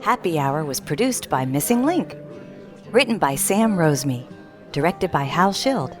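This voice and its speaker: A woman announcer with a somewhat low voice reads from a script. Her pitch moves in a very lively way, over faint music. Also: unhurried, a faint crowd. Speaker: credits announcer.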